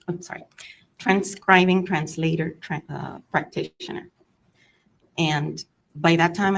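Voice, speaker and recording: A middle-aged woman from the Miami area, born in Cuba with Spanish as her first language, testifying as a witness in a courtroom with a hesitant manner, listing terms with pauses. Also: on the low side, creaky, slight background noise, rough recording.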